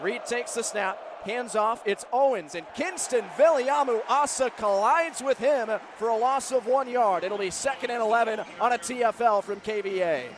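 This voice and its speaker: An American man calling live football play-by-play on the radio, describing each move and building to excited calls. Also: very high voice, normal speed, hardly any pauses. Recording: clear stadium noise behind broadcast commentary. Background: outdoor stadium ambience.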